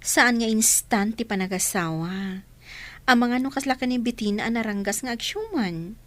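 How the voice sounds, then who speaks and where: An actress playing a kind, coy, motherly woman being courted in an Ilocano radio drama, gentle and teasing in manner. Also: fairly high pitch, animated, normal speed, no echo. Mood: coy, amused.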